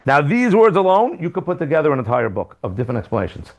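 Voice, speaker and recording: A rabbi giving a lively Torah class in a classroom, asking rhetorical questions with sudden jumps in pitch and loudness. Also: male voice, quick pace. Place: classroom with students.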